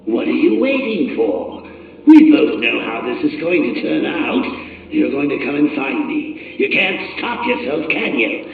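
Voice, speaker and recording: A vengeful criminal clown in a video game, gravelly and sing-song, drawing out his sneers slowly. He sounds distant, with heavy echo, through a thin, tinny channel. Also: on the high side, sing-song, a crowd in the background, full character voice. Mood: mocking. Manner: sneering, sing-song taunts with bursts of laughter.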